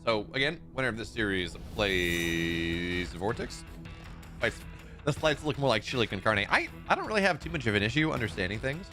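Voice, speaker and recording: A man casting a live strategy game match, excitable in the fights and relaxed and rambling when he chats with viewers. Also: lowish voice, moderate pace, faint music behind. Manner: excitable play-by-play, rising in big fights, relaxed and rambling between games. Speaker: esports caster. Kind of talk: live game commentary and chat reading.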